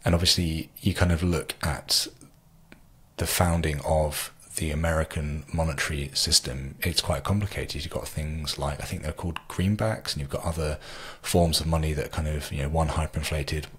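A man's voice: rumbling low, fairly even intonation, moderate pace, fairly bright tone, breathy edge. A man in his thirties hosting a podcast interview, asking long questions in a hesitant way full of fillers, over a video call. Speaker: podcast host. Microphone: studio mic, earbuds, video call.

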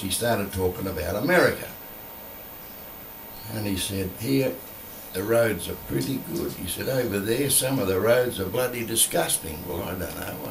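An older man with an Australian accent and a croaky voice left over from flu, chatting bluntly and swearing freely in a small home room into a vocal mic on a stand. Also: on the low side, background noise. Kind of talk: casual rambling monologue. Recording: roomy, from a distance.

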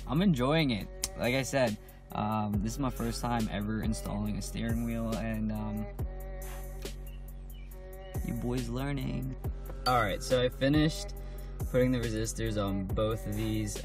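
Young male car vlogger, voice a bit hoarse and low, talks loose and chatty into his phone, with clear music under the voice. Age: young adult. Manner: chatty and informal, with frequent ums. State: hoarse, slightly raspy voice. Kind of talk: casual how-to vlog. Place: outdoors on a porch, then inside a parked car.

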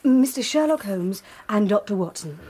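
An actress playing a polite, composed young English gentlewoman in a Victorian radio drama. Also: mid-range voice, animated, moderate pace, husky.

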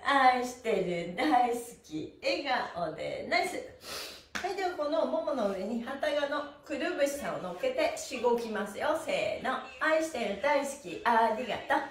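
A middle-aged woman with a high, very animated voice leads a stretching routine. She is cheerful and energetic, turning her counts into sing-song chants. She is heard from a little way off in an echoey room. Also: moderate pace, slight background noise. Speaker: stretching and exercise instructor. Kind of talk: upbeat follow-along exercise instruction with counted chants. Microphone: camera a few steps away. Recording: roomy echo, distant microphone, music behind.

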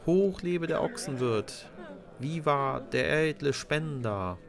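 A man voicing excitable festival townsfolk, pitched up. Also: slow pace, creaky, a crowd in the background, heavily acted. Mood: cheering. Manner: exclaiming.

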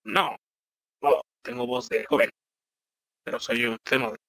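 A man's voice: fairly even intonation, measured pace, creaky, pushed and bright, no room sound. A man using a voice changer to play a timid, apologetic young underling. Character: nervous young male underling "Miguel". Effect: voice changer pitch shift.

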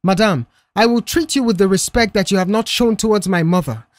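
A woman voicing a principled young male mechanic in an audio story, firm, measured and blunt. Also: medium pitch, no echo, lightly acted. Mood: stern.

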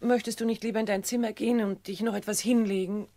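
An actress playing a dutiful, anxious grown daughter in a radio play, speaking politely and earnestly. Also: female voice, medium pitch, steady tone, fast talker, mellow, natural voice. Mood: evasive, soothing. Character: dutiful, anxious grown daughter caring for her sick mother.

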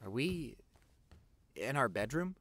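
A young man playing an affectionate, excitable vampire boyfriend in an intimate audio roleplay, gushing and stammering. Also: mid-range voice, slow, slight background noise, exaggerated voice. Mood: curious, hesitant. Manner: gushing, stammering, repeats himself.

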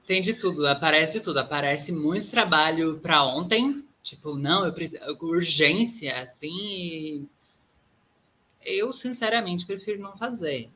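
A woman's voice: fairly low pitch, lively intonation, unhurried, bright, forceful tone. A woman in her thirties from southern Brazil with a Gaúcho accent, a guest artist talking reflectively on a video call from a room at home, often ending thoughts with tag questions. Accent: Gaúcho Brazilian Portuguese. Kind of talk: casual interview talk. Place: home room with plants, indoors.

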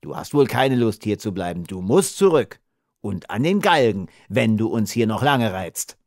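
A man voicing a rough, bearded prairie smuggler in an audiobook, blunt, taunting and threatening. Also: lowish voice, big pitch swings, normal speed, no room sound, studio quality. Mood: mocking. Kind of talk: audiobook character acting.

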